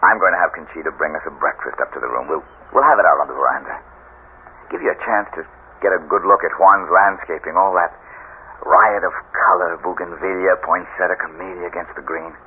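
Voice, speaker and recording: An actor playing a protective, coaxing husband in a radio drama, soothing but insistent. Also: male voice, deep voice, brisk. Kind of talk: 1970s radio drama acting. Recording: tinny, noisy old radio recording.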